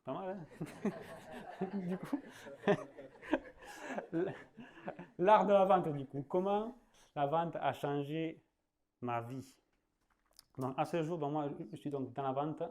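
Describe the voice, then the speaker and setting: A man's voice: fairly high pitch, takes it slowly, pauses often, a little mellow. A man in his late thirties with a southwestern French accent, teaching sales in a loose, conversational style. He leans on fillers, restarts his sentences and laughs at his own jokes. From southwest France. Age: 30s. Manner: chatty, loose, full of fillers, laughs at himself. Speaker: sales trainer. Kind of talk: casual spoken masterclass.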